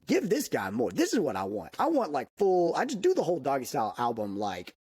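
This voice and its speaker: Casual male podcast guest, mid pitch, dry close sound, animated and chatty. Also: a little fast, husky, no room sound. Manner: animated, laughs often, many fillers like "dude". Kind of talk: casual chat.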